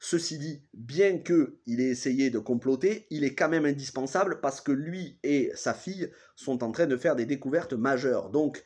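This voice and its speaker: A man in his thirties speaks with the congested sound of a cold, his pitch sitting in an ordinary middle range. He recaps a TV episode casually and haltingly, pausing and correcting names as he goes. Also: darkish tone, husky. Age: 30s. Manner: hesitant, restarts and corrects himself often. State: has a cold. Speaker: TV series reviewer.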